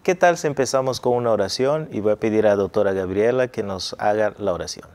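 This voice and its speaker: A middle-aged man from Angola, a pastor hosting a Bible study review in a TV studio, teaching in a measured way on a lapel mic. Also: on the low side, darkish tone. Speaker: pastor and host.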